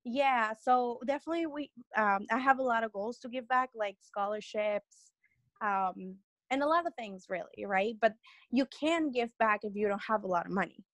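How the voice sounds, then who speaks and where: A woman's voice: a little high, normal speed, fairly bright tone, no room sound. A young woman from Peru, a guest on an interview podcast, telling her story in a rambling, earnest way with many restarts.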